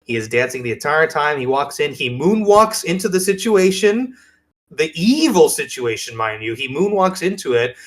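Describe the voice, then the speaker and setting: A man's voice: fairly high pitch, big pitch swings, moderate pace. A man chatting casually as a podcast co-host, telling things in an enthusiastic, rambling way. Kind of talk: casual chat.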